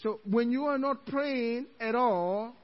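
A Nigerian-accented male pastor with a notably high voice preaches to his church, quoting scripture emphatically and breaking into chuckling asides. The recording is dull and lo-fi. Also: slow pace. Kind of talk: evening church sermon. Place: church sanctuary.

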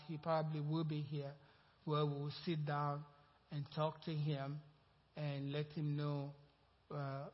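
A man with a Nigerian accent, speaking as a pastor to his congregation in a rambling, conversational way. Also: fairly high pitch. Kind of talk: informal sermon announcement. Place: church sanctuary. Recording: muffled church hall sound with long reverb.

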